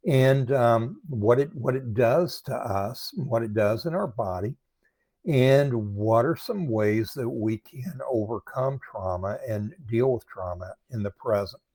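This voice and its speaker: A man hosting a casual livestream, talking informally and haltingly straight to viewers, with a voice strained by throat trouble. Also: medium pitch, warm tone, no room sound. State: struggling with throat and voice problems. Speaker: live stream host, recovery coach.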